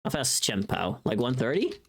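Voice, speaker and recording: Animated livestreamer chatting casually, pitch swinging widely. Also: brisk, gravelly, husky. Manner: animated, informal, sweary. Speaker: livestream host. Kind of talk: casual livestream chat with viewers.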